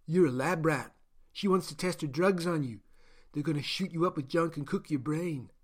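A man voicing a gaunt, bearded male prisoner who speaks bluntly and scornfully, with a loud, defiant edge. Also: on the high side, moderate pace, slightly rough.

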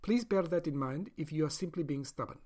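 A man's voice: on the high side, fairly quick, darkish tone, slightly rough. A man voicing a polite but ruthless woman doctor who experiments on captive people, in a prim, clipped, coolly courteous manner. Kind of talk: audiobook character voice.

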